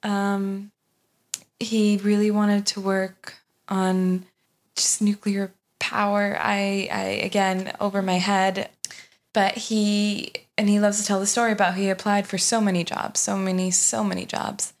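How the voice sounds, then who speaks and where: A young woman from Sweden, a podcast guest, telling her family story in a relaxed, casual way with frequent ums and uhs. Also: mid-range voice, measured pace.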